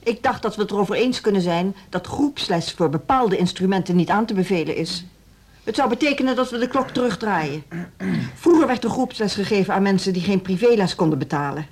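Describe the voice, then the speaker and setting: An actress in a radio drama playing a proud, disapproving middle-aged music teacher with an articulate, earnest and cutting manner. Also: female voice, mid-range voice, expressive, a little fast, a little mellow, breathy edge. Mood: firm.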